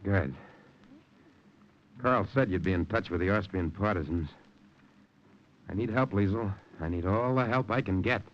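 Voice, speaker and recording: An actor playing a weary, anxious American undercover agent in a 1950s radio drama. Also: male voice, low-pitched, normal speed, long pauses, natural voice. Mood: weary, earnest. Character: weary, anxious American undercover agent behind German lines, recounting his story. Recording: noisy, muffled old radio broadcast.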